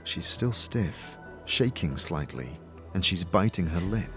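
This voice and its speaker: A man's voice: deep voice, expressive, takes it slowly, over music. A man giving dramatic second-person narration as the narrator of a role-playing audio drama. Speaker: narrator and game master.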